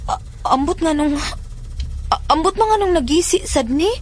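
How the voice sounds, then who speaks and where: A woman's voice: high voice, animated, normal speed, slight background noise. A woman playing a nervous, evasive schoolgirl daughter with a Cebuano Filipino accent in a horror audio drama, stammering and hesitant.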